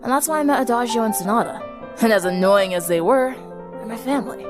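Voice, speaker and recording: A woman's voice: fairly high pitch, lively intonation, quick pace, over music, pitched above the performer's own voice, close to an everyday voice. A woman voicing a brash, sarcastic teenage former-siren girl with a snappish, dismissive manner in a dramatic story reading. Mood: guarded, confiding. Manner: snappish, dismissive, grudgingly candid. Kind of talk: dramatic fan-fiction reading.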